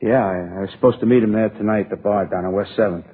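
An actor playing a shifty, slangy, defensive small-time crook in a radio crime drama, on a tinny old recording. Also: male voice, on the low side, fairly even intonation, fast, husky. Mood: cornered, resigned. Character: shifty small-time crook, wise guy under questioning.

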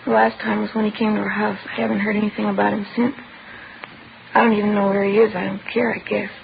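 A woman playing a distraught, bitter teenage girl in an old radio crime drama, giving halting, short answers. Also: mid-range voice, level tone, gravelly. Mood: subdued, guarded. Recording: tinny, faintly noisy old radio broadcast.

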